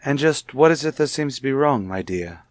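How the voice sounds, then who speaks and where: A man's voice: medium pitch, expressive, moderate pace, dry recording, clean studio sound, close to an everyday voice. Man acting a coolly courteous, suspicious radio-soap husband. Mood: coolly solicitous. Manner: formal, pointedly polite phrasing. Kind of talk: old-time radio soap opera acting.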